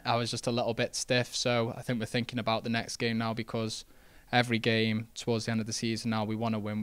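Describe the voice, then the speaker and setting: Flat-voiced young footballer. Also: male voice, fairly low pitch, clear, resonant tone, no room sound. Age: young adult. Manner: relaxed, modest, many fillers and restarts. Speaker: footballer after a match. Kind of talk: post-match interview. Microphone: handheld interview mic.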